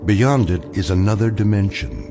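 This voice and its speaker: A man narrating eerie radio drama as a grave, ominous storyteller, measured and portentous. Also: on the low side, animated, music in the background. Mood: mysterious, solemn.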